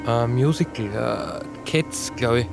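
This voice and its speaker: Awkward austrian crook's helper, halting and uneasy, radio play, music behind. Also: male voice, mid-range voice, creaky. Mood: awkward bluffing. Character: hesitant male accomplice bluffing his way through. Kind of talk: radio drama acting, colloquial.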